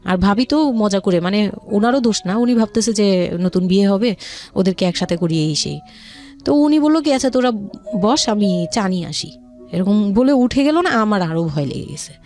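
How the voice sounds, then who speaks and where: A Bangladeshi woman telling her life story as a radio interview guest, speaking in a halting, confiding way with repeated words. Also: mid-range voice, expressive, darkish tone. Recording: music under the voice. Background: background music.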